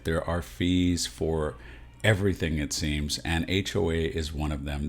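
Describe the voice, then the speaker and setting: An American man presenting advice as a realtor and video host, explaining things directly and often checking in with the listener. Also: low-pitched. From San Antonio, Texas, USA.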